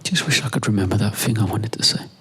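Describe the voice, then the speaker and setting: A man speaks a reflective monologue in a very husky, breathy voice. It sits a little low, and he moves hesitantly through short, conversational phrases, as if thinking aloud. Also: fast, pushed and bright. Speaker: performer. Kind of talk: performed spoken monologue, conversational.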